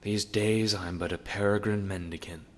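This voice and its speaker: A man's voice: on the low side, steady tone, normal speed, big-room sound, lightly acted. A man voicing a tired, wary, downtrodden woman, a former postmistress, in a matter-of-fact, unimpressed manner for an audio drama. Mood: resigned. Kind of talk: audio drama character acting.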